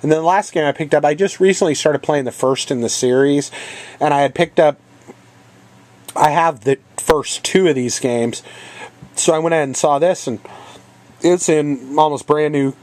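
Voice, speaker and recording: A man's voice: fairly high pitch, moderate pace, a little mellow. A man with a head cold, sounding congested and coughing now and then, rambling casually through a vlog with many ums and uhs. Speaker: channel host. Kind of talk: casual video game haul vlog.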